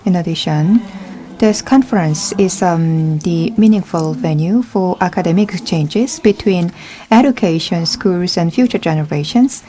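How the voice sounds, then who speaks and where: A woman's voice: lowish voice, a little slow, warm tone. A woman giving formal scripted congratulatory remarks as an academic, delivered carefully phrase by phrase. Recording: faint background noise.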